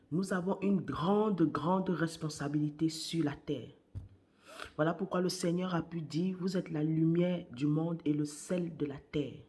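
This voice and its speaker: A woman preaching a morning sermon while she has a cold. Her voice is worn, and she speaks deliberately, repeating key phrases and sometimes dropping to a hush. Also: low voice. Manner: slow and deliberate, repeats phrases, hushed at times.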